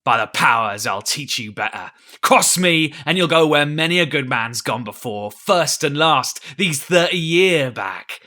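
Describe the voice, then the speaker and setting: A man voicing a crafty, blustering old male pirate captain with gruff sea-dog talk and roaring threats. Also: medium pitch, brisk, sharp tone, close to an everyday voice. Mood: menacing. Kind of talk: audiobook character reading.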